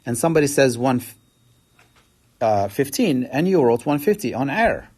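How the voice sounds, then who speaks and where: A man's voice: big pitch swings, normal speed, darkish tone, slightly rough. A man teaching a statistics lesson, explaining step by step and lifting his voice to stress key points. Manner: explanatory, rises in pitch to stress key words. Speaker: math teacher. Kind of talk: recorded statistics lecture.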